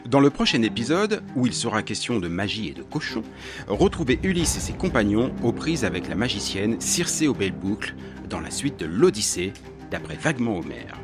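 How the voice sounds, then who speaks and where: Lively low-voiced male announcer. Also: moderate pace. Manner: playful, selling. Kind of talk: scripted episode outro. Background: music.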